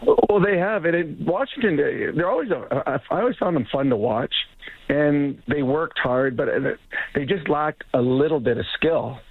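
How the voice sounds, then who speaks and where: Slightly rough-voiced man on a phone line. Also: on the high side, animated, normal speed, a little hiss or hum. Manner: rambling, restarts, laughs easily. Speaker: radio analyst guest. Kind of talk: phone-in sports chat.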